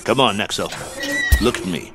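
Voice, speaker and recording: Loud music runs under a stubborn American fight manager in a radio drama. His delivery swings widely in pitch as he pushes, urgent and insistent, like a man desperate for his fighter to perform. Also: expressive, normal speed. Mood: eager, urging. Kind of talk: audio drama acting.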